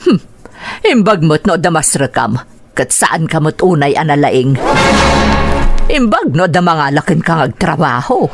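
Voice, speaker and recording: A woman's voice: low voice, big pitch swings, a little slow, long pauses, heavily acted. A woman playing a cold, belittling mother in a radio drama, sarcastic and dismissive, with an Ilocano accent. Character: cold, scornful, belittling mother of a grown child. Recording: music behind the voice.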